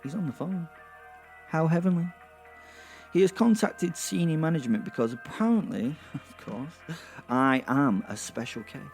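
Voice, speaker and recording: A man's voice: fairly high pitch, moderate pace, pauses often, close to an everyday voice. A man playing a restless, mischievous werewolf, narrating wryly. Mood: sardonic. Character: cocky, restless, mischievous male werewolf killer. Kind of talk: first-person narration in horror comedy audio drama. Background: music.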